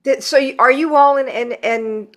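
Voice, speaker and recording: A woman's voice: high-pitched, moderate pace, a bit bright. A woman hosting a podcast, interviewing guests casually and reacting to what they say. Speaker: podcast host.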